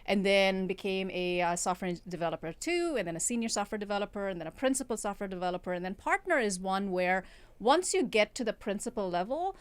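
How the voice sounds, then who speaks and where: A woman's voice: medium pitch, lively intonation, quick pace, smooth, no room sound. A middle-aged woman from South India with an Indian accent, speaking earnestly and articulately as an interview guest, telling her own story. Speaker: interview guest, tech executive and author. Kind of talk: conversational interview, personal storytelling.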